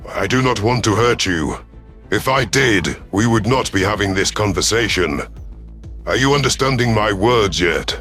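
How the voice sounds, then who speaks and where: A man voicing a solemn, remorseful reptilian alien predator with measured, formal speech in an audio sci-fi story. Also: lowish voice, lively intonation, fairly bright tone, faint music behind, pitched below the performer's own voice.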